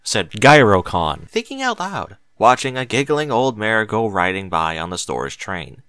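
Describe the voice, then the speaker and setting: A man's voice: lowish voice, sing-song, unhurried, pushed and bright, clean tone, no echo. A man reading a story aloud as scripted narration, with dramatic, emphatic delivery. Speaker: audiobook narrator of fan fiction.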